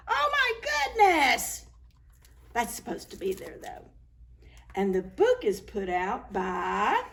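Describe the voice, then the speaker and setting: A woman reading a picture book aloud to preschoolers as a story time host, expressive and full of exclamations. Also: fairly high pitch, slight background noise. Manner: expressive, exclaiming, talks to young children. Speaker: children's librarian hosting story time.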